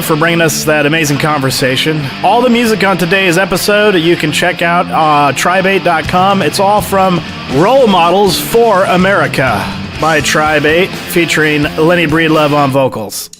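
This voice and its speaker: Male announcer for podcast outro, high register, guitar playing behind. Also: normal speed. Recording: music playing underneath. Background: guitar music.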